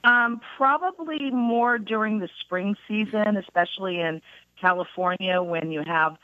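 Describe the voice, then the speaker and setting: A woman, a registered nurse, calling in to a radio show and explaining things in a relaxed, friendly way. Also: mid-range voice, a little slow, no room sound. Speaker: registered nurse, phone caller. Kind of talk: phone-in interview. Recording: muffled phone line.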